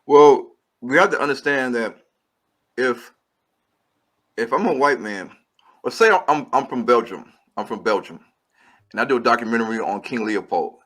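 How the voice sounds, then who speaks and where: A man's voice: medium pitch, lively intonation, moderate pace, pauses often, fairly bright tone. A man, a filmmaker guest, giving long earnest explanations in an interview and restarting his sentences often. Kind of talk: interview answers.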